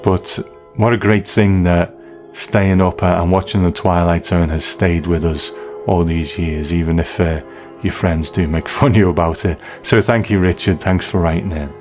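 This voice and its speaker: A man's voice: deep voice, fairly even intonation, normal speed, a little mellow, faint music behind. A middle-aged British man talking in a chatty, conversational way as a podcast host, casually going through listener feedback. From United Kingdom.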